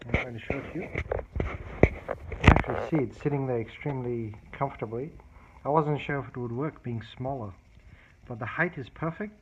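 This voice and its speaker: A man casually demonstrating a tape recorder repair at his workbench, explaining step by step in a halting way, with many fillers and restarts. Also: medium pitch. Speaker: hobbyist repairer. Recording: dull, lo-fi audio with clear background noise and clicks.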